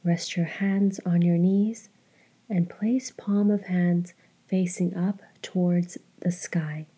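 Female meditation guide: hushed, husky-soft and very warm, unhurried, measured delivery. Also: on the low side, fairly even intonation. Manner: slow, measured, with long pauses. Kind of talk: slow guided meditation narration.

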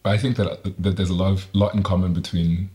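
A man interviewing in a casual conversation, speaking reflectively and haltingly, often reworking his sentences as he goes. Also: low-pitched, little pitch movement, darkish tone. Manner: reflective, halting, self-correcting.

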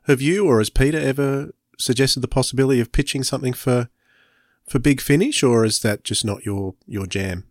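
A man's voice: mid-range voice, fairly quick, no echo, clean studio sound. A man asking conversational questions as a podcast interviewer.

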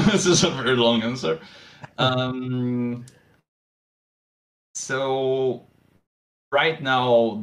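A man's voice: mid-range voice, a bit bright. A man answering interview questions as a game designer, hesitant, with many fillers and restarts. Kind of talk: casual podcast interview.

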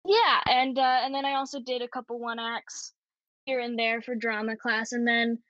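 Young woman guest, squeaky high voice, giggly and rambly, dry muffled audio. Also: steady tone, moderate pace, a bit bright, no echo. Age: young adult. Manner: giggly, rambling, many fillers. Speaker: podcast guest. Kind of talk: casual podcast chat.

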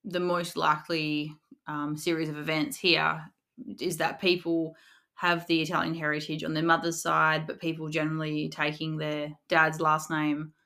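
A woman co-hosting a casual podcast, curious and quick with follow-up questions. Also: low-pitched, monotone, gravelly, sharp tone. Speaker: podcast co-host.

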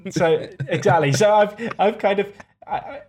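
Monotone podcast guest. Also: male voice, sits high, normal speed. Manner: calls himself monotone, self-mocking. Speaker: guest content creator. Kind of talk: casual podcast chat.

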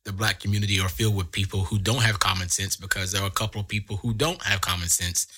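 A man's voice: on the low side, fairly even intonation, quick pace, sharp tone, breathy edge. A man co-hosting a casual podcast, explaining his views earnestly and at length. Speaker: podcast co-host. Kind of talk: casual group chat.

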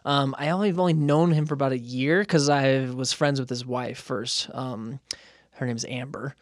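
An American man hosts a podcast in a loose, conversational style. His voice sits at a middling male pitch, and the recording is dry, with little room sound. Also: fairly even intonation, moderate pace, no echo. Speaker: podcast host and interviewer. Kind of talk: casual podcast chat.

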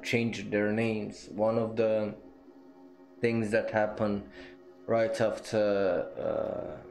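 A man's voice: fairly low pitch, creaky, a little mellow. A man hosting a podcast, talking casually and bluntly about history, rambling with many fillers, restarts and the odd swear word. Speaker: podcast host.

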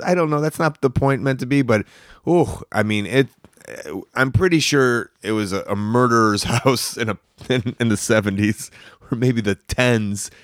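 A man's voice: mid-range voice, no room sound, clean studio sound. A man hosting a travel podcast, chatting casually and teasingly on the go, rambling with restarts. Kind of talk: casual on-the-go chat. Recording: street and traffic noise behind.

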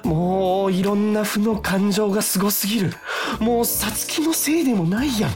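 A man's voice: sits high, moderate pace, a little mellow, natural voice. Over background music, a man acts out a melodramatic young male office worker. He pities himself and talks through his inner thoughts in overwrought, theatrical style, as in a comic radio play. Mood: despairing. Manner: overwrought, dramatic self-talk. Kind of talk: comic radio drama acting with inner monologue.

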